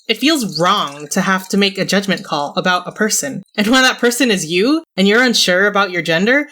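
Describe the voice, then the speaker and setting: A young woman from California reading a scripted personal essay in a reflective, measured and wry way. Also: mid-range voice, fairly bright tone. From Orange County, California. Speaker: video essayist.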